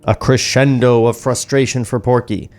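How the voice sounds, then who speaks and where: A man's voice: mid-range voice, level tone, normal speed, a little mellow, soft background music. A man hosting a podcast, reading screenplay stage directions aloud into a studio microphone. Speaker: podcast host and script narrator.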